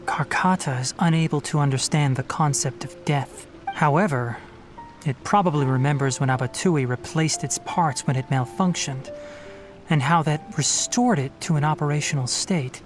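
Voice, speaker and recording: A young man voicing a calm, rational forest ranger and scholar in a studio game, explaining in a measured way and giving firm instructions. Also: fairly high pitch, natural voice. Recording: game audio with faint music underneath.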